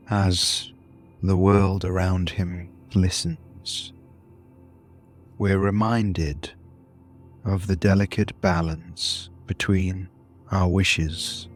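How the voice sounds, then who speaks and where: A man narrating a scripted bedtime story in a soothing, deliberate manner, with long pauses between short phrases. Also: sits low. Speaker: host and narrator of a sleep story podcast.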